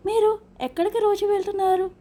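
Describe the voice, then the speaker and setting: A woman's voice: very high-pitched, little pitch movement, fairly quick, warm tone, voice pushed up. A woman voicing a small, clever caged parrot in a children's story, speaking politely and eagerly. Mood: polite, curious.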